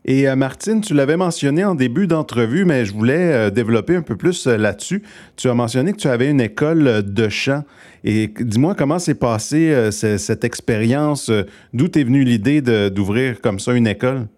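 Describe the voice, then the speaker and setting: A man's voice: medium pitch, animated, moderate pace, darkish tone. A man hosting an interview, asking questions with many fillers. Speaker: interview host. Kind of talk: radio-style interview.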